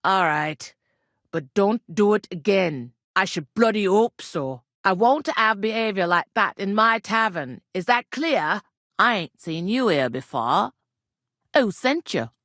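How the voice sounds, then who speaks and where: A woman's voice: mid-range voice, animated, unhurried, fairly bright tone, no room sound, clean studio sound. Blunt medieval innkeeper woman. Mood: scolding, stern. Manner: blunt, earthy, colloquial scolding and gossip. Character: brusque, gossipy medieval village innkeeper woman, scolding and world-weary. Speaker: video game voice actor. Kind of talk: video game character dialogue.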